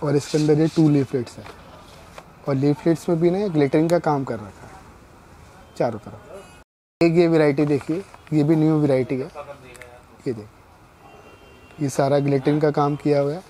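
A middle-aged male shopkeeper talks a customer through his stock in steady, explanatory sales patter. His voice sits a little high but sounds mellow and soft-edged. Faint shop noise hums behind him in a small indoor store. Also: normal speed, pauses often, rough recording. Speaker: wedding card shopkeeper. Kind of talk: showing products and prices to a customer.

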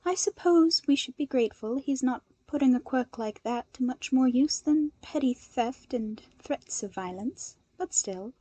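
A woman voicing a composed, polite young woman hero with a measured, gently dry manner in a story reading. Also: high voice, lively intonation, dry recording. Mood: wry, thoughtful.

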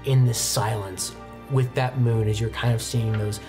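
A young man narrating a horror tabletop roleplaying game as game master, with deliberate, suspenseful descriptions and dramatic pauses. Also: mid-range voice, fairly even intonation. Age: young adult. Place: indoor studio game set at a desk. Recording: music playing behind the voice. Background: background music.

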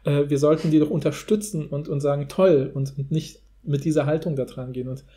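Mellow, higher-voiced man chatting. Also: steady tone, a little fast. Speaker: podcast host. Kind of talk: casual discussion.

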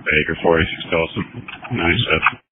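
A man's voice: deep voice, a little fast. A man speaking as a state trooper, giving terse reports over police radio. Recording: tinny, noisy police radio transmission.

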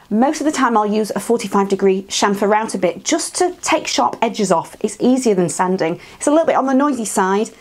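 A woman in her thirties with a British accent, chatting enthusiastically to camera about woodworking tools in a small wooden workshop shed, on a lapel mic. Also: mid-range voice. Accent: British English. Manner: chatty, enthusiastic, frank asides. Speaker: woodworking vlogger. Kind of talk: casual tool walkthrough to camera.

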